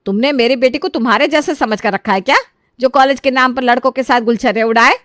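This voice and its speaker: Woman acting a scolding older mother-in-law, lowered voice. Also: fairly bright tone, dry recording, clean studio sound, close to an everyday voice. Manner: scolding, accusing, taunting. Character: sharp-tongued, suspicious mother-in-law, older woman, protective of daughter.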